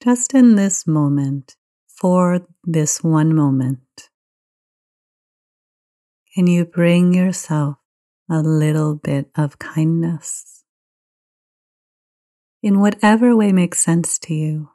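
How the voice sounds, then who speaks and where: A woman leading a guided meditation in a gentle, soothing, unhurried manner. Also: sits low. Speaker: meditation teacher.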